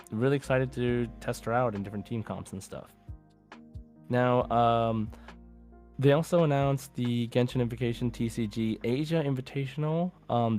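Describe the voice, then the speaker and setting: A man's voice: mid-range voice, darkish tone. A man hosting a casual gaming podcast, talking in an enthusiastic, rambling way. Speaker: podcast host.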